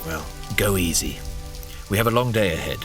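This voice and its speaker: An actor playing a sly, playful courtly schemer in an audio drama, talking in flowery, teasing phrases with theatrical flair. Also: male voice, low-pitched, normal speed, over music, close to an everyday voice.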